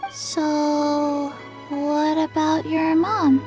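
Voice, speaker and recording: A woman voicing a small, solemn little girl in a video game, deadpan and halting. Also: high voice, music in the background, rough recording.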